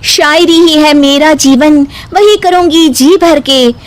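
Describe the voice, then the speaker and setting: A woman's voice: high-pitched, slow. A woman voicing a feisty, exasperated young daughter-in-law with a pointed way of talking in a cartoon comedy story. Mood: defiant, theatrical. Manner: pointed, complaining.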